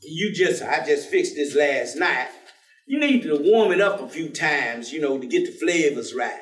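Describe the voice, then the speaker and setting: An actor in a staged reading playing a devout, folksy, teasing man who talks in a colloquial, drawn-out way. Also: fairly high pitch, very animated. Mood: jovial, teasing. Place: indoor theatre stage. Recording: roomy theatre, distant microphone.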